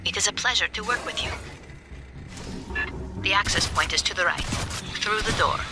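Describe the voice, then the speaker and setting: Game casting: actress as courteous synthetic assistant, medium pitch, formal and measured, heavy music behind. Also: female voice, lightly acted. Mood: composed, courteous. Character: polite, composed female android assistant to marines. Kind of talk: video game voice acting.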